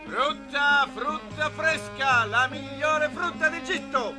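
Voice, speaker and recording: A cartoon street seller, really high voice, slow drawn-out cries, music behind. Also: male voice. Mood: hawking. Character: market vendor loudly hawking his wares. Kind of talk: animated cartoon dub acting.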